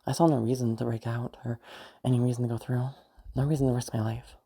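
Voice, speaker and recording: A woman playing a detached, eerily calm sociopath who recounts the past in a halting, musing monologue with a husky, creaky voice. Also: rumbling low, full character voice. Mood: detached reminiscing. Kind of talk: first-person audio roleplay monologue.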